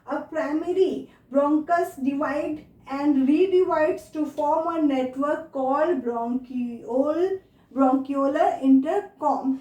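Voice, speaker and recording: A woman's voice: high-pitched, warm tone. A woman with an Indian accent giving a school lecture as a teacher, asking questions and repeating key terms. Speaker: biology teacher. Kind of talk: classroom lecture for school students. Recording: echoey room.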